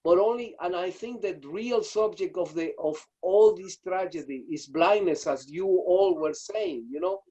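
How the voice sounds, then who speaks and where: A man speaking up on a video call, halting and searching, with constant fillers as he gropes for ideas. His voice is fairly high for a man and mellow in tone. The call audio sounds dull and lo-fi. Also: breathy edge. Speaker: audience participant. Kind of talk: spontaneous reflective remarks.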